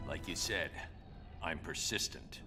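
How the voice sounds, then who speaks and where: A man's voice: deep voice, a little slow, loud music behind the voice. A man playing a stern, stoic vigilante hero in a video game, terse and controlled. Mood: grim. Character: grim, stoic, principled male vigilante hero. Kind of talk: dramatic video game acting.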